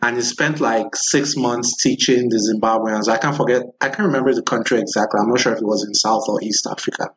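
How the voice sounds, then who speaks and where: A young man with a Nigerian accent hosting a casual conversation, speaking in a measured, halting, probing way. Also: mid-range voice, fairly even intonation, a bit bright, highs cut off. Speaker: podcast host.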